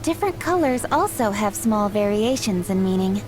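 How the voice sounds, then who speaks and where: An actress voicing a polite, soft-spoken young woman in a game who explains traditions in a measured, formal way. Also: mid-range voice, animated, background noise, natural voice. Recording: game music behind.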